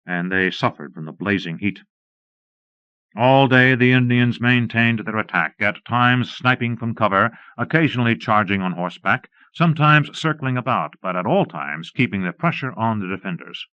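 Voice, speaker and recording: A man's voice: fairly low pitch, lively intonation, normal speed, no echo. Man narrating, dull cassette sound. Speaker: audiobook narrator. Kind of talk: scripted narration of Western fiction and history.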